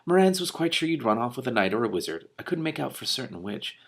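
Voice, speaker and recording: A man reading a storybook as a composed, shrewd female dragon, with a measured, dry delivery. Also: mid-range voice, very animated, vocal fry, husky, pitched above the performer's own voice, heavily acted. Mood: mild. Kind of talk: storybook read-aloud.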